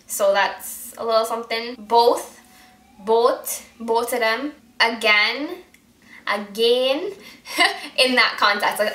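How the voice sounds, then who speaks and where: A woman's voice: fairly high pitch, pushed and bright. A young woman from Guyana with a mostly American accent that carries traces of Guyanese, chatting in a rambling vlog style full of fillers and restarts. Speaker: beauty vlogger. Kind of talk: casual vlog chat. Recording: echoey room.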